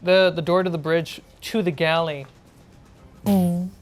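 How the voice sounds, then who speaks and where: A woman's voice: on the low side, fairly even intonation, a little slow. A woman acting as game master, narrating a tabletop role-playing game in a casual, conversational way. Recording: music behind the voice. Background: music.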